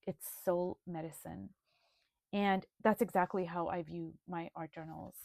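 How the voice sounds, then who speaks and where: A woman presenting her art journal in a video, speaking in a slow, thoughtful, gently earnest way. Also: fairly low pitch, fairly even intonation, gravelly, no echo, studio quality. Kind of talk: reflective video flip-through.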